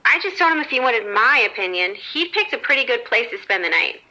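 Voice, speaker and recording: An upbeat female casino floor manager, played by an actress in a radio drama. Her voice is fairly high and very bright. She talks in a chatty, unruffled, matter-of-fact way. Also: big pitch swings, fairly quick, exaggerated voice, low-quality recording. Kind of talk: scripted horror audio drama radio exchange.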